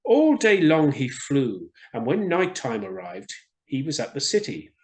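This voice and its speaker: A man reading a children's story aloud as an expressive storyteller. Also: mid-range voice, unhurried.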